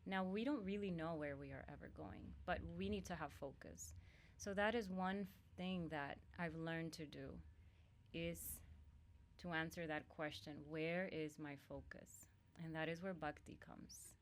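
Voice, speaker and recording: A woman from Honduras speaks in a low, deep voice. She talks unhurriedly and explains things step by step, the way a teacher guides a class. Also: unhurried. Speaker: guest yoga teacher. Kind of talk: calm spiritual teaching talk.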